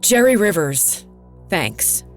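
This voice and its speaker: A woman's voice: on the low side, big pitch swings, takes it slowly, soft background music. A woman playing a composed radio interviewer questioning her colleague with concern in an audio drama.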